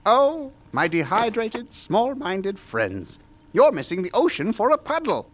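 An actor playing a pompous, oblivious mad scientist in an audio drama, speaking in theatrical, drawn-out phrases. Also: male voice, high voice, wildly swooping pitch, a bit bright, exaggerated voice. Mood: grandiose. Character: grandiose, oblivious mad scientist, male, giddy with his schemes.

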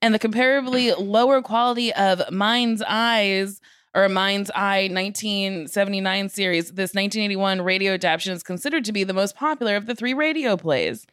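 A woman's voice: mid-range voice, a little fast, a bit bright, clean tone, no echo. A woman co-hosting a podcast in casual comedic banter, speaking in a playful, emphatic way. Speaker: podcast co-host.

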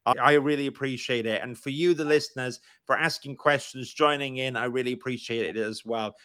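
A man's voice: mid-range voice, a bit bright, smooth, dry recording, studio quality. A man with a Welsh accent hosting a live-streamed interview, chatty and encouraging. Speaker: podcast host.

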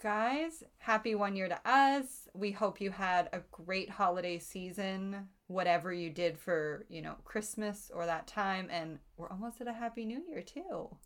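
Woman, medium pitch, slightly congested, informal podcast banter, playfully teasing co-host. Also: measured pace, hardly any pauses, a bit bright. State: slightly stuffed up with a cold. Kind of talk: casual chatty podcast banter.

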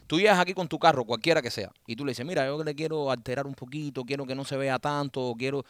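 A man's voice: mid-range voice, quick pace, no room sound. A man co-hosting a casual chat, teasing and telling stories with animation. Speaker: co-host.

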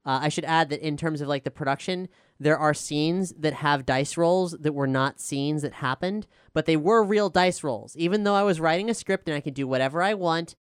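Smooth clear voice, dry close sound, casual podcast talk, emphatic explainer, showrunner. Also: medium pitch, normal speed, dry recording. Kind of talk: casual behind-the-scenes discussion.

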